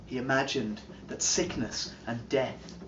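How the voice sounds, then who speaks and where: A man giving a slow, chanting dramatic recitation of an old charm, swinging from roaring calls to hushed murmurs, recorded from a distance at a live performance. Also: medium pitch, fairly even intonation, background noise. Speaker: storyteller. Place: heritage site, live performance room. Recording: dull, roomy live recording from far off. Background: drumming.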